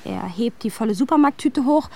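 An actress playing a reflective, wry first-person narrator in a scripted literary radio play. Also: female voice, on the high side, animated, fairly quick, gravelly, warm tone. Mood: musing.

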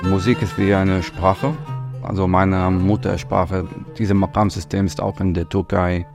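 A Palestinian musician, answering interview questions in halting German and searching for words. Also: male voice, low-pitched, level tone, creaky. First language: Arabic. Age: 30s. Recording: music playing behind.

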